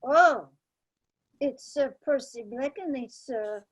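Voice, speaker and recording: A woman as high-voiced deferential servant. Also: expressive, slow pace, heavily acted. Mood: deferential, hesitant. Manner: hesitant, deferential. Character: deferential manservant, hesitant and polite. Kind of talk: radio play table read.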